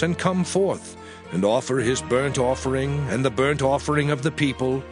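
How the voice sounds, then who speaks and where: A man reading scripture in a formal, solemn and measured declamatory style. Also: mid-range voice. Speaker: scripture narrator. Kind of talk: dramatized audio Bible reading, formal narration. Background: music.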